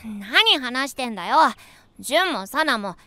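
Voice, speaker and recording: A man's voice: very high-pitched, sing-song, unhurried, bright, forceful tone, clean tone, no echo, heavily acted. A man voicing a cheeky, bossy little kindergarten boy in a Japanese voice drama, blunt and boisterous.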